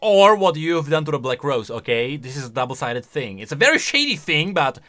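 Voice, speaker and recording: A man giving casual, opinionated reaction commentary on video game lore in an animated, conversational way. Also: fairly high pitch, brisk. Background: faint music.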